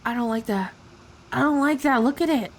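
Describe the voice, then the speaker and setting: A woman's voice: high voice, brisk. A woman streamer giving casual, teasing live reactions to a game, often giggly and repeating words for effect. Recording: faint noise on livestream. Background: game audio.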